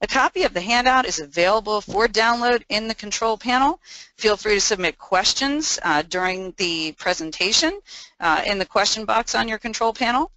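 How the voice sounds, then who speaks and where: A woman's voice: mid-range voice, pushed and bright, no room sound, poor audio. A woman hosting a webinar, reading a formal prepared introduction of the speaker and housekeeping notes. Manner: reads a prepared script, formal. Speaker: webinar host.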